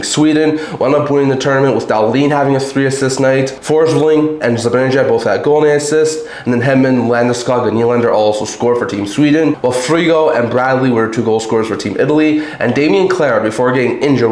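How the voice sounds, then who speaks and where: Male sports channel host, mid-pitched, a bit raspy from being sick, informal delivery, roomy echo. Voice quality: slightly raspy. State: a little sick. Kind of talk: sports news commentary to camera or microphone. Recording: roomy, echoing home recording.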